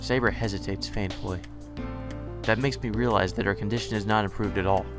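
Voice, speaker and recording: A man's voice: fairly low pitch, fairly even intonation, brisk, over music. A man reading a visual novel's translated lines aloud for a let's play, acting them out and occasionally stumbling. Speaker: let's player reading game text aloud.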